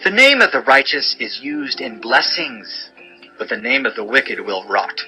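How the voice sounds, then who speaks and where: Forceful, sharp-voiced male scripture narrator. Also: medium pitch, sing-song, unhurried, poor audio. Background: music bed.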